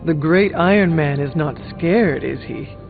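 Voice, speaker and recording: Earnest game hero, muffled narrow sound. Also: male voice, fairly high pitch, creaky. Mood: curious, level. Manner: polite, measured, formal phrasing. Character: earnest, measured male hero, curious newcomer to the team. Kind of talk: video game dialogue acting. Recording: muffled narrow-band game audio, music behind.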